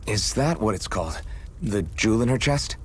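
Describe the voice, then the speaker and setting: Man acting an earnest, low-voiced young hero. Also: expressive, moderate pace, a little hiss or hum, natural voice. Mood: curious. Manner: earnest, questioning. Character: earnest young amnesiac freedom fighter, questioning and unsure. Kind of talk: video game voice acting.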